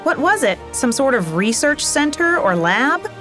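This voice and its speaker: An actress playing a tough, vengeful soldier in a video game, with a terse, commanding, sardonic manner. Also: female voice, high-pitched, very animated, unhurried. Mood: accusing. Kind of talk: video game cutscene acting. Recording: loud music behind the voice. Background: music.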